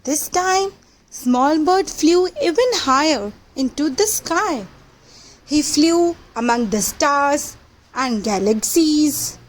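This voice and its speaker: A woman reading a picture book aloud to young children, with simple deliberate phrasing and questions to the listeners. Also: high-pitched, animated, poor audio. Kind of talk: children's read-aloud storytelling.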